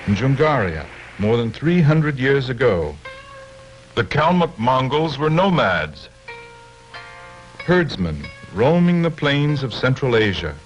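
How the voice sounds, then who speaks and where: A man giving scripted documentary narration in a measured, expressive way. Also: medium pitch. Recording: dull old film soundtrack with music.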